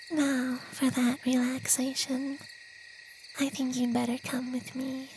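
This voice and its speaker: Slow, high, whispery gentle vampire played by a man. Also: slight background noise, full character voice, rough recording. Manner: soft, breathy, half-whispered, slow and soothing. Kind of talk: ASMR roleplay.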